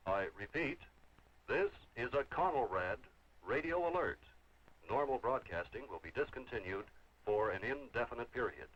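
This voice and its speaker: A man's voice: medium pitch, expressive, normal speed, fairly bright tone. A man reading a formal emergency radio announcement in a 1950s drama. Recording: dull old radio broadcast sound.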